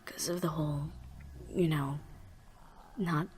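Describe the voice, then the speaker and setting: Woman acting a calm, low-voiced young survivor. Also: slow, slight background noise. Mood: playful. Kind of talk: audio drama dialogue.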